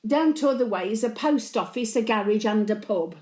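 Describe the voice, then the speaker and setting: A woman's voice: on the high side, lively intonation, normal speed, darkish tone, reverberant, natural voice. A woman playing a blunt, antisocial elderly Birmingham widow, telling chatty stories in local dialect as a dramatic monologue. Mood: wistful. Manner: blunt, grumbling, colloquial dialect. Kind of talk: dramatic monologue, chatty storytelling to late husband.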